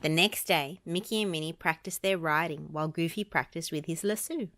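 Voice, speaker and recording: A woman reading a children's storybook aloud as an expressive narrator. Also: sits low, a little fast. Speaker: storybook reader.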